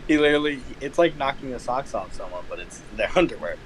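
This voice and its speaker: A man's voice: mid-range voice, expressive, quick pace. A man giving casual, joking commentary in a wry, sweary way. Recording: faint background noise.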